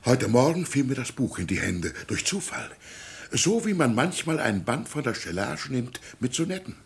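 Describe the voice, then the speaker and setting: An actor playing a frail, gentle old village pastor in a radio drama, anxious and musing, hesitant and sometimes sinking toward a whisper. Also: male voice, mid-range voice, very animated, moderate pace, creaky, slightly rough, full character voice. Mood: troubled, reflective. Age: older. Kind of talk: German radio drama acting.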